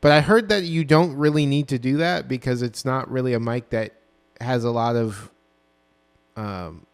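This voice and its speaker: A man in his twenties with a low voice, casually walking through his own song mix with plenty of ums and self-corrections, recorded on a dynamic mic in a small home studio. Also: normal speed, clear, resonant tone. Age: 20s. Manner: relaxed, rambling, full of ums and self-corrections. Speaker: music producer. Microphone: dynamic broadcast mic.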